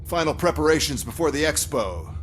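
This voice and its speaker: An actor playing an arrogant, sarcastic and condescending corporate executive in a video game cutscene. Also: male voice, on the high side, big pitch swings, brisk, a bit bright, slight background noise. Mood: smug contempt.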